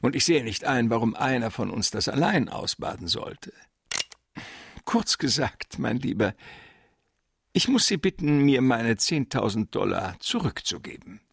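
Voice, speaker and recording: An actor playing a fat, courteous but calculating middle-aged crime boss in a radio drama, with florid, pompous phrasing. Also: male voice, mid-range voice, big pitch swings, measured pace, vocal fry. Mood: suave.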